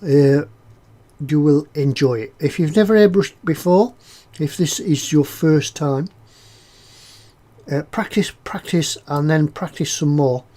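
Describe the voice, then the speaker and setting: A fairly high-voiced man with a snuffly, stuffed-up sound from a cold. He chats in a relaxed, rambling way as he works on a model kit, filling pauses with "uh" and circling back over his own words. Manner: rambling and chatty, with frequent "uh"s and self-repeats. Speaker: scale-model builder hosting a live stream. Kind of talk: casual livestream chat, reading out viewer comments.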